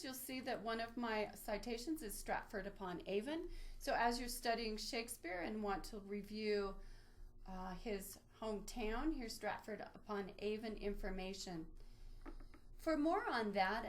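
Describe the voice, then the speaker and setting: Woman guiding an online tutorial. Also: a little high. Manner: explanatory, guiding step by step. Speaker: webinar presenter, library trainer. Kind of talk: instructional screen-share walkthrough, semi-scripted.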